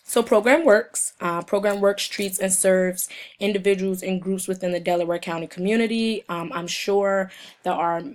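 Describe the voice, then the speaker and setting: Young woman, hoarse with a cold, mid-pitched, reading a class presentation off her notes. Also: steady tone. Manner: reads from prepared notes, halting in places. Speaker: student presenter.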